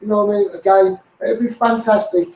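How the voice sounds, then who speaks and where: Male, Scouse, high voice. Insistent amateur campaigner delivering an impassioned monologue, recorded with heavy room echo. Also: steady tone, brisk, a little mellow. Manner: insistent, repetitive, directly addressing politicians. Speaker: campaigning video host. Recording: echoey, distant from the microphone.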